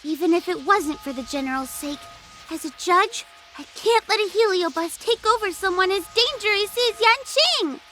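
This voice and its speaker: A woman's voice: very high voice, lively intonation, moderate pace, bright, forceful tone, soft background music, full character voice. A woman voicing a solemn, determined little girl judge in a video game, formal and resolute. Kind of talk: video game cutscene acting.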